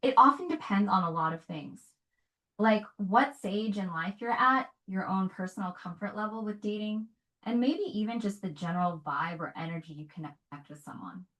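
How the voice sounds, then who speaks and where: A woman speaking calmly and evenly, paraphrasing what she hears and asking follow-up questions. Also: mid-range voice, poor audio. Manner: calm, measured, paraphrases and asks follow-up questions. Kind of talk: conversational advice.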